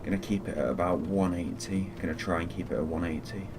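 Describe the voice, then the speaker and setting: Deep-voiced man streaming over background noise. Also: steady tone, fast. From Fiji. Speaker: flight simulator live streamer. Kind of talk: casual live gaming commentary.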